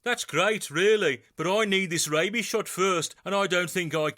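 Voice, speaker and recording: A man's voice: high voice, moderate pace, bright, forceful tone. A man playing a bumbling, slangy British rock star with a Birmingham accent, in a comedy story reading. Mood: queasy, flustered. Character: queasy drunken-sounding British heavy metal rock star.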